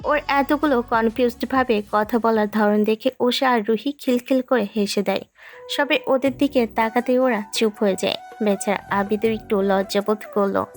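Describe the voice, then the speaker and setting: A woman narrates a romantic audio story in a fairly high voice. Her delivery is scripted storytelling, with music playing softly behind her. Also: fairly even intonation, moderate pace. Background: background music.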